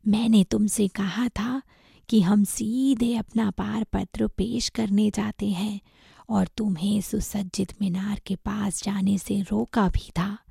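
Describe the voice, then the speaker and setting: A woman voicing an angry, reproachful male monk master in a storybook tale, with a husky, breathy voice. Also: on the low side, darkish tone, no echo, clean studio sound. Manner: indignant, reproachful. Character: angry, scolding revered monk master, adult man.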